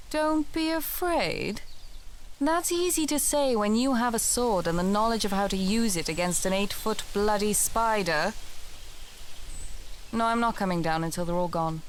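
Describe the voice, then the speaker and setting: A woman's voice: mid-range voice, slight background noise, lightly acted. A woman playing a chatty, drily humorous alchemist and herb gatherer in a fantasy audio roleplay, talking straight to the listener. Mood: panicked. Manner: conversational, instructive, drily humorous.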